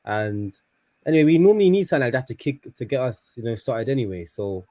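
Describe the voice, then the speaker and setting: A man calmly giving a matter-of-fact opinion on a fan stream. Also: mid-range voice, fast talker, no room sound. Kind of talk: casual live-stream chat.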